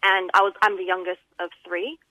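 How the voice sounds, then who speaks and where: A woman's voice: fairly low pitch, level tone, a little fast, pushed and bright, dry recording, rough recording. A young woman from Iran, a radio guest who talks in a chatty, slightly nervous way. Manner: chatty, giggly, a bit nervous. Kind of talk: casual radio interview.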